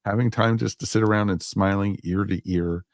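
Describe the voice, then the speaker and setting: A man's voice: fairly low pitch, steady tone, fairly quick, dry recording, clean studio sound. A man hosting a podcast in casual chat, conversational and repetitive, often dropping in "you know". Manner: conversational, repeats himself, peppered with "you know". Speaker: podcast host.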